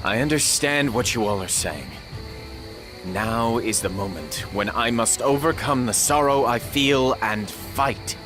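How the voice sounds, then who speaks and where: A man's voice: mid-range voice, animated, a little slow, over music, natural voice. A man playing a noble, earnest warlord in a dramatic video game, speaking with solemn courtesy and deliberation. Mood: solemn resolve.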